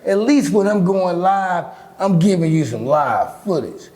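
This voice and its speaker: A middle-aged man with a deep, raspy voice, talking bluntly and with lots of swearing as an interview guest. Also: unhurried. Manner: blunt, profane, animated storytelling. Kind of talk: casual interview talk.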